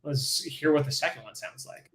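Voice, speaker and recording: Casual, enthusiastic male stream instructor, slightly higher voice, roomy echo. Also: moderate pace, a bit bright. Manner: casual, enthusiastic, many fillers and restarts. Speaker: livestream coding instructor. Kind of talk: live-streamed coding lesson.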